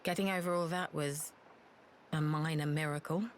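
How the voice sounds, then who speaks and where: An actress playing a proud, guilt-burdened former assassin in a video game, with a curt, formal way of talking. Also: female voice, deep voice, fairly even intonation, normal speed, a little hiss or hum, natural voice. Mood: dry, wry. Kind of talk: video game cutscene acting.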